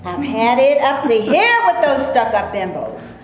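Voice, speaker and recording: Echoey, high-pitched, feisty actress played by a woman. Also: sing-song, a little slow. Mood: scornful. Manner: sassy, indignant, colloquial talk. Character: fed-up, feisty film actress stuck playing maids. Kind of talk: staged play reading. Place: echoey room before a live audience. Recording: echoey room with noise, live audience recording.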